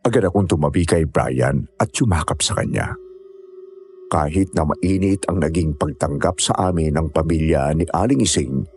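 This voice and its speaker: A man's voice: rumbling low, normal speed, darkish tone, faint music behind. A man playing an uneasy young climber, narrating a scary mountain ordeal in scripted first person.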